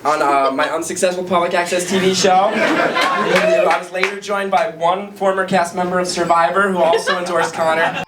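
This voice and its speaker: Wry, amused man with a high voice. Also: fairly even intonation, normal speed, reverberant, very noisy. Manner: wry, amused, laughing through lines. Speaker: TV personality. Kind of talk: documentary interview.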